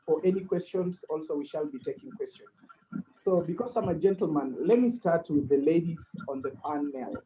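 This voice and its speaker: A man's voice: fairly high pitch, moderate pace, warm tone, slight background noise, poor audio. A man hosting an online panel, polite and formal, with many fillers. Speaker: host.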